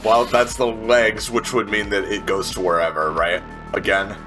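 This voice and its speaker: A man's voice: fairly low pitch, level tone, normal speed. A man playing a tabletop game, thinking aloud and describing his character's moves in colloquial, profane speech. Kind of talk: casual game chat and narration. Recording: music under the voice. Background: background music.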